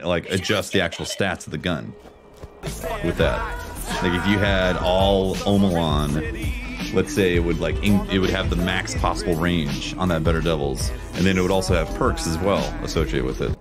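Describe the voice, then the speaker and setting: A man streaming a video game, chatting casually in an informal, slangy way as he muses and comments on the game. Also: low voice. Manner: informal, slangy, conversational musing. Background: video game music.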